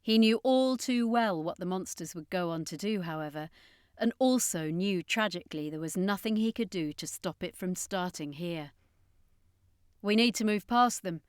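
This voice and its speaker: A woman's voice: lowish voice, normal speed, darkish tone, clean tone, no room sound, clean studio sound. A woman reading a scripted adventure story as an audiobook narrator, in a dramatic storytelling style.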